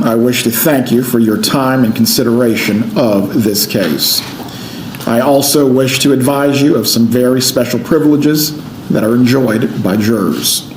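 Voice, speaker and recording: An American man speaking as a judge, giving a formal, deliberate courtroom address. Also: mid-range voice, a little mellow. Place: indoor courtroom. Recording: echoey courtroom, faint noise.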